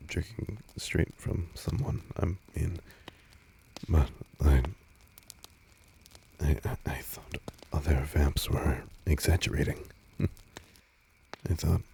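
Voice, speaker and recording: A man playing a tender, teasing but insecure vampire lover in an intimate roleplay audio drama, murmuring breathily and haltingly, often slipping into whispers. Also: low voice, vocal fry, warm tone, a little hiss or hum, exaggerated voice. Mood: contented, musing.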